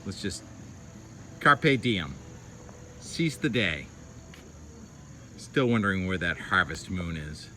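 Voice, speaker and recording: A man with a fairly low voice narrates a casual outdoor vlog. He muses aloud in short, enthusiastic exclamations about what he sees. Clear ambient noise from the open air runs behind his phone recording. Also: very animated, slow pace, long pauses, bright, forceful tone. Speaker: weather vlogger, videographer. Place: outdoors, beach and waterside. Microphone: handheld phone.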